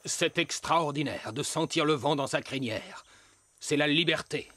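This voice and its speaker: A man voicing an easygoing young wild horse in an animated series, relaxed and persuasive, proud of a free life. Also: a little high, a little slow. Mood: dreamy, proud. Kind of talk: animated series voice acting, French dub.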